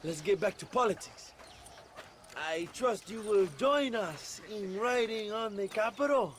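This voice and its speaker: An actor playing a pompous, self-absorbed Mexican revolutionary leader in a game, with a Mexican accent and a grandiose, flippant manner. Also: male voice, sits high, a little slow, a little hiss or hum. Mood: breezy, self-satisfied. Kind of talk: video game cutscene acting.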